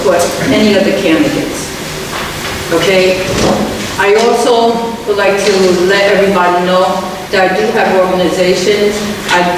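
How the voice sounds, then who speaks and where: Older woman pleading in a distant hall. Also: mid-range voice. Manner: earnest, plain-spoken, halting. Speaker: school board candidate. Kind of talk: candidate speech. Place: large hall at a debate. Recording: echoey hall from far off, noisy.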